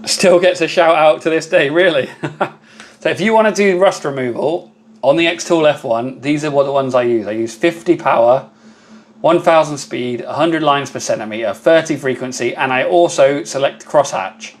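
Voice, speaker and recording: Loud-voiced man chatting on a live stream. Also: fairly high pitch, normal speed. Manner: chatty, thanks viewers by name. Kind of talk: casual live stream chat with viewers.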